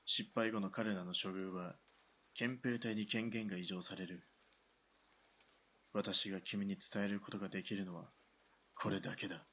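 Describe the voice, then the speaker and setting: A man's voice: deep voice, steady tone, moderate pace, pauses often, a bit bright, breathy edge. A man playing a stern Japanese army lieutenant colonel in a radio drama, curt and commanding. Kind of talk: hobby radio drama acting.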